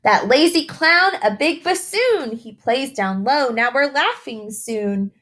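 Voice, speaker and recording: A woman's voice: high voice, expressive, slow pace, a bit bright. A woman reading a picture book aloud to young children like a teacher, encouraging them with simple questions and chatty explanations.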